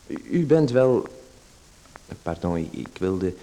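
An actor playing a nervous, overly polite playwright in a radio play, apologetic and stammering. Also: male voice, sits low, big pitch swings, slow, warm tone, exaggerated voice, low-quality recording.

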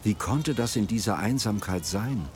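A man narrating a radio drama in a measured, literary storytelling manner. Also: lowish voice, animated, darkish tone, slight background noise.

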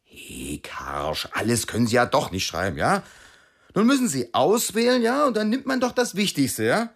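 Insistent young cyclist, wildly swinging pitch. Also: male voice, wildly swooping pitch, normal speed, vocal fry, exaggerated voice. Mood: insistent persuading. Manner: chummy, prodding, colloquial, with repeated question tags. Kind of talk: radio drama dialogue.

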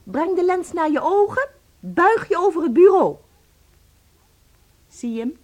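An actress in a Dutch radio play playing a jealous, wounded and possessive wife, dramatic and commanding. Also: female voice, extremely high pitch, big pitch swings, a little slow, exaggerated voice. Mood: angry, commanding. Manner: dramatic, commanding, emotionally volatile. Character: jealous, wounded wife fighting possessively over her tiny husband.

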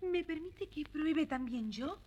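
A gentle young fairy-tale heroine, humble and forgiving, speaks politely in a fairly high voice. The delivery is theatrical storybook acting. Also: female voice, animated, slow pace.

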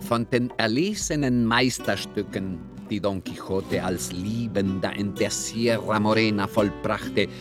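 Male chapter announcer, lowish voice, formal literary read, prominent guitar music behind. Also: unhurried. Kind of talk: scripted, old-fashioned chapter announcement.

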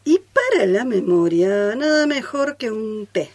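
A woman's voice: fairly high pitch, big pitch swings, measured pace, darkish tone. A woman playing an obliging tea shop seller in a comic radio play. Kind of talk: comic radio theatre acting.

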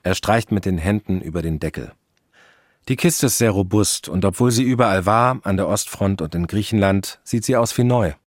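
A man narrating a radio drama about his family in a calm, matter-of-fact way. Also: on the low side, moderate pace. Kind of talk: scripted narration.

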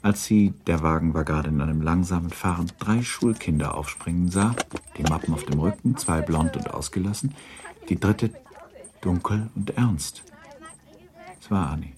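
A man narrating a literary radio drama in a measured, formal storytelling manner. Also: sits low, a crowd in the background.

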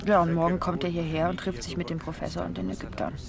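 A man's voice: on the high side, level tone, fast, gravelly, crowd noise. An actor playing a concerned male insider in a radio drama, confiding plans in a matter-of-fact way. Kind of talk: naturalistic radio drama acting.

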